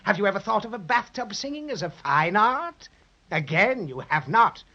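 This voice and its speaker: Vain crooning crocodile, gravelly and husky, theatrical, pompous, old radio serial. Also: male voice, sits high, big pitch swings, normal speed, bright, forceful tone, heavily acted. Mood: boastful. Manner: pompous, flowery, melodramatic. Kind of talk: 1930s children's radio serial acting. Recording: dull 1930s radio recording.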